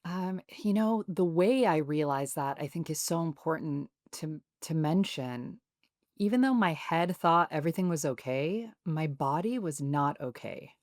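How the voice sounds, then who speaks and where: Calm, low-voiced woman author. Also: dry recording, studio quality. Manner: calm, soothing, measured. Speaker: podcast guest, author. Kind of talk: reflective interview talk.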